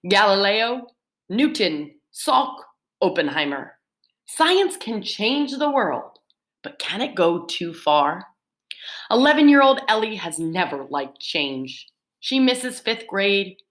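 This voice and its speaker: A woman teacher reading book summaries aloud to her students in an expressive storytelling manner. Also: slow. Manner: lively, expressive read-aloud with swooping pitch.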